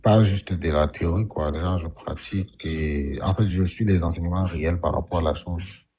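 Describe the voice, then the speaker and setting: A man's voice: deep voice, fairly even intonation, moderate pace, dark tone. A man calling in to a live stream, speaking hesitantly and carefully, often restarting his sentences. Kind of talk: casual call-in talk.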